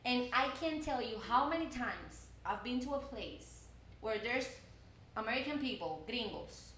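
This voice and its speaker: A young Cuban woman talks in English with a Spanish accent, in a voice a little higher than most women's. She is lively and often doubles words as she gets going. The room is echoey and has a faint noise in the background. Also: measured pace. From Cuba. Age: 20s. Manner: animated, repeats words when starting a thought. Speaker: journalism student guest. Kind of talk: casual chat.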